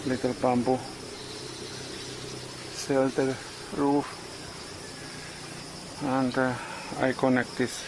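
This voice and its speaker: A man in his forties vlogging casually outdoors on a farm, speaking in halting English and searching for words, recorded on a handheld phone. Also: medium pitch, level tone, background noise. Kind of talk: casual vlog narration. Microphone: phone camera at arm's length. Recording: outdoor ambience with insects and animals.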